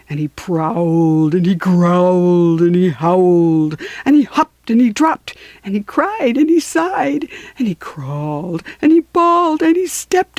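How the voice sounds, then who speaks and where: Animated, unhurried woman reading a children's story. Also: on the low side, no room sound. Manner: theatrical, deliberate emphasis.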